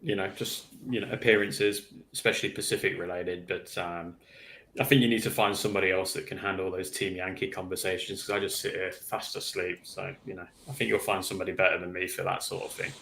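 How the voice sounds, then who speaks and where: A man with a fairly low voice and plenty of creak in it. He chats casually as a panellist on a hobby livestream. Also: steady tone, quick pace, a bit bright, a little hiss or hum. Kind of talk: casual chat.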